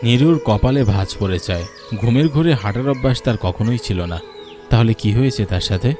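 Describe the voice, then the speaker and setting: A man tells a scripted story in a dramatic narrating style. His voice sits at a medium pitch, with soft music playing underneath. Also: expressive, fairly quick. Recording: music behind the voice.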